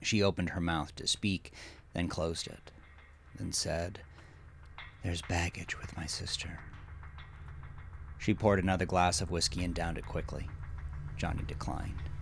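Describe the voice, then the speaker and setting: A man reading scripted horror fiction as narrator, in a measured, solemn and deliberate manner. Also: deep voice, steady tone, vocal fry, a little hiss or hum.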